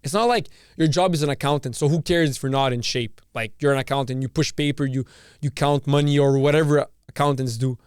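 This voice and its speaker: A man's voice: mid-range voice, steady tone, fairly quick, smooth, dry recording, clean studio sound. A man from Serbia hosting a podcast, giving a blunt, profane solo monologue full of repeated words and restarts. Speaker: podcast host.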